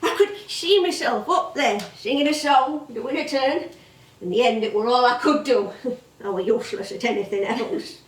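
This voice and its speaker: A woman's voice: a little high, moderate pace, natural voice. A woman playing an elderly music-hall actress with a Northern English accent, chatty and rambling, proud and nostalgic in a stage drama. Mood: wistful. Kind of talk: theatrical monologue-like reminiscing. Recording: roomy, distant stage recording.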